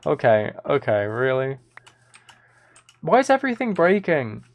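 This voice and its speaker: A man's voice: medium pitch, wildly swooping pitch, measured pace, dull audio. A man livestreaming a game with casual commentary, muttering to himself in a mildly exasperated way. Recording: keyboard typing and clicking noise. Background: keyboard and mouse clicks.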